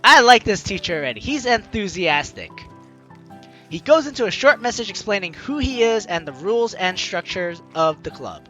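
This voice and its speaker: Lively, bright young woman reading a game. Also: medium pitch, normal speed, highs cut off, faint music behind. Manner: playful, theatrical reading, chatty asides. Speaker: gaming YouTuber, host. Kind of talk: let's play, reading game text aloud with commentary.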